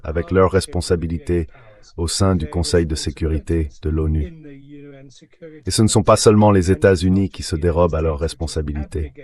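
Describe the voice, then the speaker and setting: A man giving measured, explanatory political commentary as a guest in a dubbed interview. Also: sits low, darkish tone.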